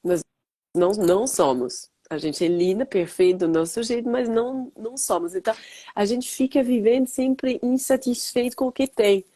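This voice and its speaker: A woman's voice: a little high, normal speed, dark tone. A French woman speaking Portuguese as a guest biologist, stuffy-nosed from a cold, talking in a reflective way with hesitations. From France. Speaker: guest biologist and holistic therapist. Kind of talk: casual interview talk. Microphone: video call mic.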